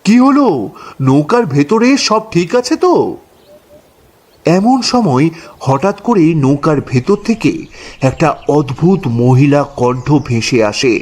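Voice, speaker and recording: A man's voice: on the high side, very animated, takes it slowly, pauses often, a little mellow. A man narrating a horror story dramatically in the first person as a boatman.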